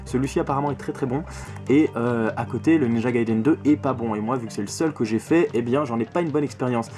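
A man's voice: medium pitch, fast, mellow, soft background music. A man giving a casual video game review, opinionated and emphatic, often repeating words and swearing. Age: 20s. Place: small bedroom at home, indoors.

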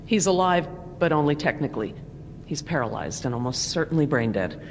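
A woman's voice: low-pitched, expressive, fairly quick, a little hiss or hum. An actress voicing an analytical middle-aged woman scientist with a clinical, earnest manner in a video game. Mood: clinical, concerned. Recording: loud dramatic music behind voice.

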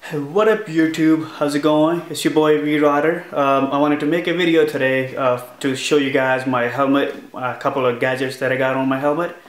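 A young man talks through his motorcycle helmet setup in a loose, rambling way, peppered with ums and uhs. His pitch stays fairly level and even as he talks. He sounds close to the camera in a small home office. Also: normal speed, few pauses. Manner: relaxed, rambling with many uh and um fillers. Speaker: motovlogger. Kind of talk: casual vlog, gear walkthrough.

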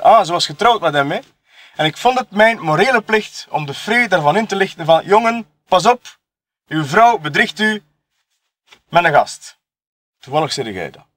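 A man acts out a cocky, shady Flemish photographer who deals on the side in an audio murder mystery. His male voice sits a little high. He talks in loose colloquial speech, smugly taunting and justifying himself. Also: a bit bright. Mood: self-righteous, mocking. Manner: colloquial, taunting, tag questions, chuckling self-justification. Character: sleazy, cocky male photographer and drug dealer, smugly mocking.

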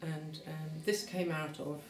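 A woman's voice: low-pitched, fairly even intonation, takes it slowly, slight background noise. An older woman, an artist, reflectively explaining a monument she is building. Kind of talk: casual explanation of her artwork. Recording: echoey room.

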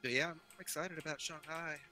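A man's voice: fairly high pitch, fairly even intonation, a little fast, pushed and bright. A young man chatting casually and telling personal stories while playing an online game. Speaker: player in an online game session. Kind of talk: casual chat and storytelling while gaming. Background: music.

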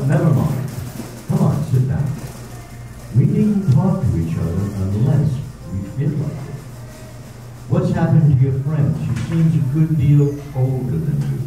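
Man acting a brusque gentleman, very mellow voice. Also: a little high. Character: brusque, courteous, aloof English gentleman, master of estate. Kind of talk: 1930s radio drama acting. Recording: echoey hall from far off.

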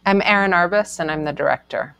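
A woman's voice: fairly low pitch, fairly quick, vocal fry, fairly bright tone, clean tone, no room sound. A woman, a director appearing as a guest, talking reflectively and casually.

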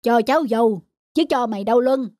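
A man's voice: extremely high pitch, brisk, darkish tone, no room sound, studio quality. A man voicing a hearty, generous middle-aged village uncle who likes to tease, in a cartoon family drama. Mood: hearty, teasing.

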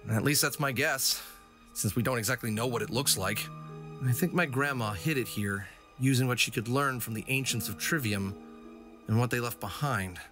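A man playing a quick-witted, confident fox adventurer, casual and wisecracking, in an audio drama. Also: mid-range voice, over music, natural voice. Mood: casual, explaining. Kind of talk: animated audio drama acting.

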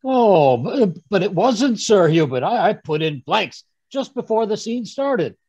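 Man as a husky, dithering, genial doctor. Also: sits high, very animated, unhurried, dark tone, no echo, heavily acted. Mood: flustered. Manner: stammering, dithering. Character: genial, hesitant, flustered English doctor and storyteller. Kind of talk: 1930s radio mystery acting. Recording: dull video-call sound.

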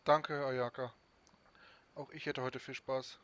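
A man's voice: medium pitch, level tone, slow pace, a bit bright, muffled recording, slight background noise. A man doing a let's play, reading out dialogue choices from a video game. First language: German.

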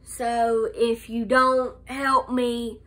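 A young woman recovering from a cold, talking slowly with a nasal voice and hesitating often in a casual vlog recorded close to a phone camera indoors. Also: on the high side, level tone, a bit bright. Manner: slow, halting, many "um"s and restarts. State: nasal from a cold, slight cough. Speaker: vlogger. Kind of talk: casual life update vlog. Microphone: phone camera held close.

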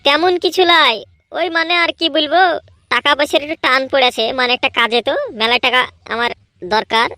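A woman's voice: sits high, steady tone, fairly quick, bright, forceful tone, no room sound. A woman voicing a boastful young village lad in a comedy cartoon, with colloquial, argumentative speech. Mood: wheedling. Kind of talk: Bengali comedy cartoon voice acting.

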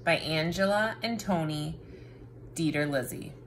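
A woman's voice: deep voice, measured pace, slight background noise. A woman reading a children's picture book aloud, recorded indoors at home. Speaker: reader.

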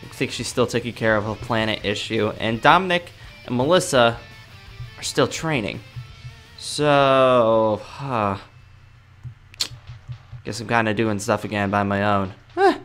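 A man's voice: fairly low pitch, measured pace, pauses often, a bit bright, soft background music, voice pushed down. A man playing a cocky, exasperated young anime fighter in a video game roleplay, grumbling to himself in slang. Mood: grumbling, resigned.

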